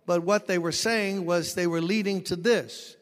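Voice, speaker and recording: A man's voice: high voice, fairly even intonation, normal speed, big-room sound. A pastor preaching a sermon, explaining scripture and telling stories from his own ministry. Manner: expository preaching with personal stories.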